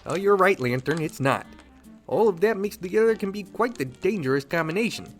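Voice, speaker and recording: A man's voice: sits high, animated, brisk, loud music behind the voice. A man playing a precise, clinical costumed hero doctor in a radio drama. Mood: alarmed. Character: brainy male costumed hero doctor with a scanning visor. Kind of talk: fan radio drama acting.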